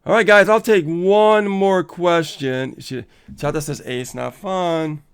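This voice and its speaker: A man's voice: on the high side, slow pace, clean tone. A man co-hosting a casual live coaching stream, upbeat and motivational, laughing often and reading viewer comments aloud.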